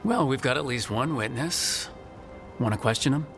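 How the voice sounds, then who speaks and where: An actor playing a good-natured, dryly joking hero in a fantasy video game, with natural delivery. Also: male voice, mid-range voice, expressive, normal speed, lightly acted. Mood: light, companionable. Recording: game music behind the voice.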